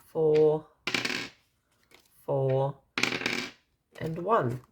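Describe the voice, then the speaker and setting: An Australian woman with a slightly croaky, dry voice, casually narrating as she counts out cash in an unhurried way. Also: sits low, fairly even intonation, muffled recording, very noisy. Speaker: budgeting vlogger.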